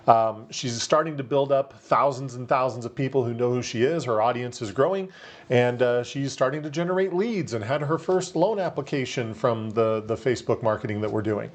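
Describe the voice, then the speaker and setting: Upbeat man with a radio-type voice. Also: medium pitch. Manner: upbeat, persuasive, many fillers. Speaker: marketing coach for real estate agents. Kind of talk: casual talk to camera.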